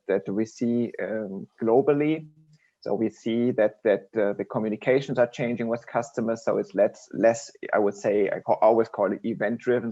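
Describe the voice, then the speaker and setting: A man's voice: mid-range voice, darkish tone. A man speaking as an industry guest in a conversational discussion, often repeating phrases and checking in with the listener. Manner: repeats phrases, tags statements with "right?". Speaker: podcast guest, industry executive. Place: remote online podcast connection. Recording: dull, band-limited online call audio.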